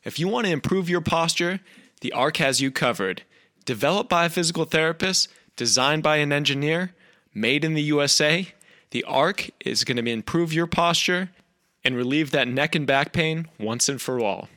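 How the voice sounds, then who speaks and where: Bright, punchy male podcast host. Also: on the high side, moderate pace, no room sound, clean studio sound. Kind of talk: podcast hosting, promotional intros and casual chat.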